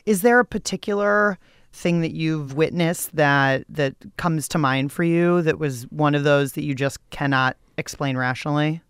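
A woman's voice: low voice, moderate pace, clear, resonant tone, no echo. Female interviewer, informal and conversational, clean resonant tone, dry studio-like sound. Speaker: interviewer, podcast host.

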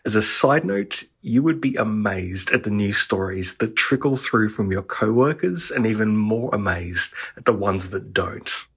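A man's voice: fairly low pitch, expressive, a little slow, hardly any pauses, fairly bright tone, husky. A man with a Texan accent, from Texas, leaving a friendly recorded message for a podcast. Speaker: listener caller.

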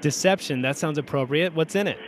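A man's voice: on the high side, brisk, a little hiss or hum, poor audio. A man hosting a podcast with light, wry, playful interview banter. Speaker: podcast host.

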